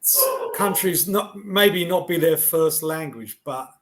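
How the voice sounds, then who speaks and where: A man, teaching over a video call in relaxed, chatty talk. He laughs often and trails off with fillers. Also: fairly high pitch, slow. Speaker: online English tutor. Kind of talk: casual conversation lesson.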